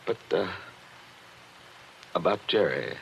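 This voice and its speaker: A man playing a calm, courteous family friend, protective and dryly soothing, in a 1940s radio drama. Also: sits low, slow, creaky, heavily acted. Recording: noisy, dull 1940s radio recording.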